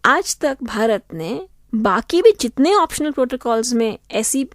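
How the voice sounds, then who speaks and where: A woman's voice: a little high, big pitch swings, measured pace, no room sound, studio quality. A woman answering in an interview, explaining earnestly.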